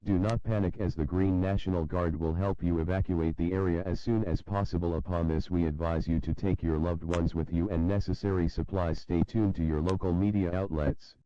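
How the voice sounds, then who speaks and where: A man's voice: low voice, steady tone, a little fast, hardly any pauses, muffled recording. A man reads a scripted emergency broadcast announcement in a formal, solemn manner. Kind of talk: scripted emergency alert narration. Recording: hissy recording with faint noise.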